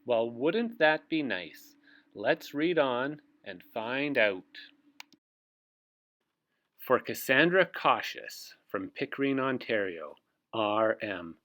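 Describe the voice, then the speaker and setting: A man's voice: medium pitch, expressive, slow pace, a bit bright. A man who works as a teacher reads a picture book aloud to young children, with asides to his listeners.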